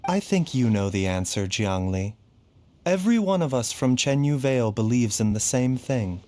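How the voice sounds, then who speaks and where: A man playing a calm, courteous, self-sacrificing doctor in video game dialogue, with a polite, composed and reassuring manner. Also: medium pitch, normal speed, close to an everyday voice. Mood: gently resolute. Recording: background music under dialogue.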